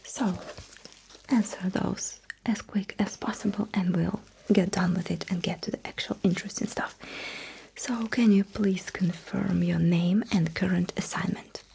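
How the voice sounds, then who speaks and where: A woman performing a sci-fi ASMR roleplay in a breathy whisper, as a courteous, dryly witty alien mecha technician with a measured manner. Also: on the low side, fairly even intonation, warm tone, a little hiss or hum, poor audio.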